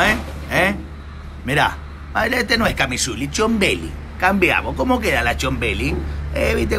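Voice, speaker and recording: Casting: Argentine-accented male pastor, forties, loose and joking livestream banter, mid pitch, phone held at arm's length outside with steady street noise. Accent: Argentine Spanish. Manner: chatty, jokey, exclamatory with frequent "eh" tags. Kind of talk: casual livestream chat. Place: outdoor terrace in hot sun.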